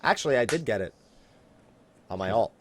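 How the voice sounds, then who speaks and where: A man hosting a casual gaming podcast, chatting in an animated, teasing way with a co-host. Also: fairly low pitch, brisk, no room sound.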